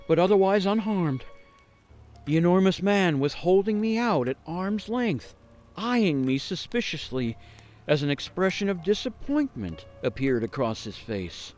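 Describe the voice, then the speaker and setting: An actor playing a haunted gingerbread cookie who tells a grim cautionary tale in a suspenseful, theatrical radio monologue with deliberate pauses. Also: male voice, high-pitched, very animated, a little mellow, soft background music. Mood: rising terror. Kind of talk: dramatic monologue for radio storytelling.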